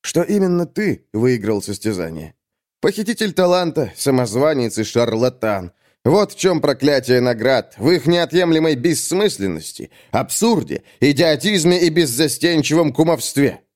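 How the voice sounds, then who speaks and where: A sardonic, self-satisfied male critic, acted by a man narrating an audiobook. He delivers pompous, articulate taunts with a widely swinging pitch. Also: big pitch swings, moderate pace, a little mellow. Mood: pompous scorn. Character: sardonic, smug, venal male art critic. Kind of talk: audiobook character acting.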